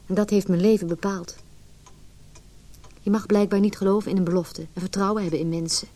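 A woman's voice: medium pitch, fairly even intonation, fairly quick, warm tone, lightly acted. An actress playing an anxious, wounded, introspective married woman in a Dutch radio play. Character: anxious, wounded married woman recalling her lonely childhood.

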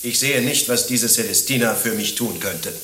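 A man playing a puzzled, touchy young gentleman in a German radio play, formal and questioning. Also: medium pitch, normal speed, reverberant, a little hiss or hum, lightly acted. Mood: sceptical.

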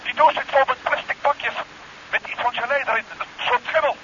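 An actor playing a matter-of-fact diver on a dangerous undersea mission in a 1970s Dutch sci-fi radio play. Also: male voice, very high voice, a little fast, sharp tone. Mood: focused. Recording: dull, hissy 1970s radio recording.

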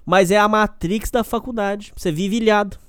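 A man's voice: high voice, steady tone, normal speed, smooth, dry recording, clean studio sound. A Brazilian man with a countryside caipira accent hosts a casual solo podcast. He rambles, repeats himself and speaks straight to the listener. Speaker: podcast host.

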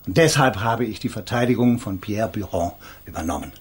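A middle-aged man playing an eminent defence lawyer in a radio crime drama, husky and formal, with measured, patronising courtesy. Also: fairly low pitch. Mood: measured, probing.

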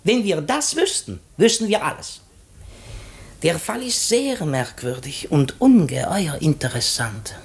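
An actor playing a vain, shrewd, courtly master detective in a 1950s German radio play, precise, theatrical and pompous. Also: male voice, fairly high pitch, sing-song, a little slow. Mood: self-assured.

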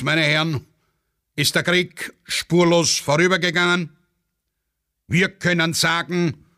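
A man's voice: a little high, bright, forceful tone, exaggerated voice. Man playing a pompous Austrian general. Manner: pompous, speechifying, emphatic. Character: pompous, muddled old-school Austrian general addressing officers.

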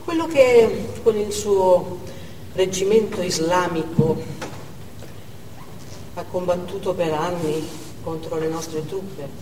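A woman's voice: fairly low pitch, takes it slowly, long pauses, dark tone, far from the mic. An actress playing an anxious Russian wife in a stage monologue, telling her story with explanatory asides. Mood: worried. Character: anxious Russian wife recounting her husband's dangerous trip. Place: theatre stage, distant microphone. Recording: noisy, echoey theatre hall from afar.

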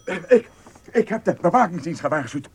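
A man's voice: fairly high pitch, big pitch swings, a little fast, darkish tone, roomy sound. An actor playing a timid, childish, easily panicked male store assistant in a Dutch radio play, stammering anxiously. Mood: nervous.

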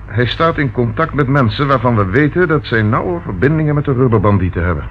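A man's voice: medium pitch, animated, brisk, lightly acted. A man acting a persistent, courteous police inspector in a Dutch radio play, questioning formally and politely. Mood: courteous, probing. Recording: dull, faintly noisy old radio recording.

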